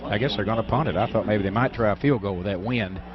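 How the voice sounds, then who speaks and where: A man's voice: lowish voice, fast talker. American football color commentator, slightly muffled man. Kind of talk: conversational football analysis. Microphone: broadcast booth microphone. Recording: slightly dull radio broadcast sound.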